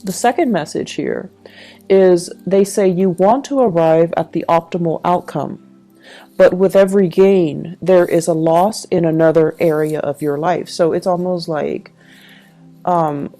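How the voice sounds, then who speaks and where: A woman's voice: lowish voice, unhurried, dark tone. A woman giving a conversational tarot reading, speaking reflectively and advising the listener directly. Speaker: tarot reader, channel host. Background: background music.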